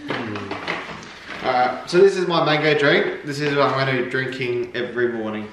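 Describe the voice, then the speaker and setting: A man's voice: medium pitch, moderate pace. An Australian man in his thirties teases his partner with a dry joking manner. He sits back from the camera in a big kitchen full of echo, with noise running under his voice. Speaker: vlog co-host, partner. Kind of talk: casual chat. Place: large home kitchen, indoors. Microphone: far camera. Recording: echoey kitchen from far off, noisy.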